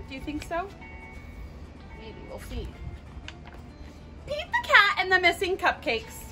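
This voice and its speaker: A young woman reading a picture book aloud to children, with an animated sing-song delivery and exaggerated expression. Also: very high-pitched. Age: young adult. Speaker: storytime reader at a children's park. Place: outdoors in a leafy garden.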